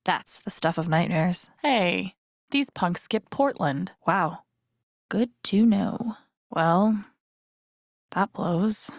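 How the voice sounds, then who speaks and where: A voice actress playing a wry, warm-hearted young woman who mutters her thoughts to herself in a naturalistic, understated video game style. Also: fairly low pitch, slow, long pauses, no room sound. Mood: wry, amused. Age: young adult. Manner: dry, understated, self-talking wit.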